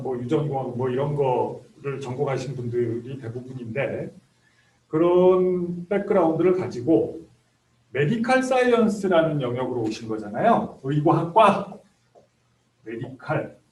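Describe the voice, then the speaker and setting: A man's voice: mid-range voice, a little slow, darkish tone. A man in his fifties from South Korea, a professor giving an introductory lecture in a conversational, explanatory way. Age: 50s. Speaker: medical school professor. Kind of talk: introductory lecture to graduate students. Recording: echoey room, distant microphone.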